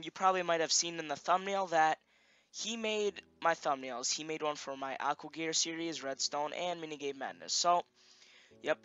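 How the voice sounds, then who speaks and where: A young man with a slightly high voice hosts a gaming video. He is from Romania and talks casually to viewers and friends as he plays. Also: pushed and bright, clean tone, highs cut off. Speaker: gaming channel host. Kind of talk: casual game commentary.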